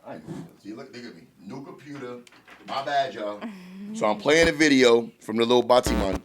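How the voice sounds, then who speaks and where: A man with a New York accent and a booming voice, co-hosting a podcast in casual, blunt chat, swearing freely. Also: a little fast, soft background music. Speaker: podcast co-host.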